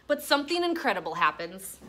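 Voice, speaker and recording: A woman teacher reading a picture book aloud to a class in an expressive, instructional way, pausing to explain and ask questions. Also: on the high side, a little hiss or hum. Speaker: elementary school teacher.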